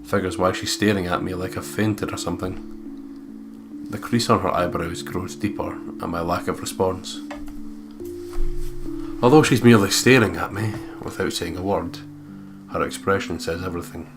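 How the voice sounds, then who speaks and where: A low male voice reads visual novel narration aloud as a let's play host, with casual, playful asides. Clearly audible guitar music plays underneath him. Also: moderate pace, long pauses. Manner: playful, breaks into laughter and asides.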